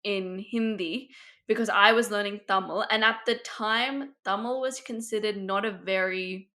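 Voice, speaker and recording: A young woman talks in a casual podcast chat. Her voice is bright and forward, and she speaks in a chatty, searching way, full of "like.". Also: medium pitch, fairly even intonation, measured pace. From Australia. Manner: chatty, lots of "like". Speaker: podcast co-host, daughter. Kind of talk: casual conversation.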